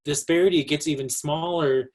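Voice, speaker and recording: Navajo guy presenting on a video call, measured pace, some ums. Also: fairly high pitch, fairly even intonation. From Navajo Nation, US Southwest. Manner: measured, opens with a greeting in his own language. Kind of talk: formal presentation at a virtual symposium.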